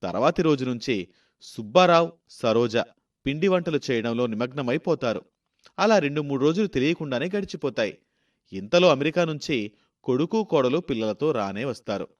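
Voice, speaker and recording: A man's voice: mid-range voice, expressive, normal speed. A man reading a scripted story as its narrator.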